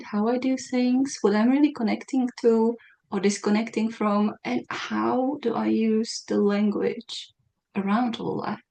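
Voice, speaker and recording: A woman hosting a conversation, talking in an animated way and searching for the right words as she thinks aloud. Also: fairly high pitch, vocal fry. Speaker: podcast host. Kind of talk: casual conversation.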